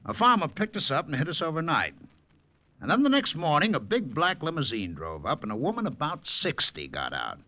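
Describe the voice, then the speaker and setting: An actor playing a plainspoken, grateful American air force major telling a war story in 1940s radio style, with a folksy manner. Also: male voice, medium pitch, pushed and bright, full character voice. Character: plainspoken, grateful American airman major, rescued after shootdown.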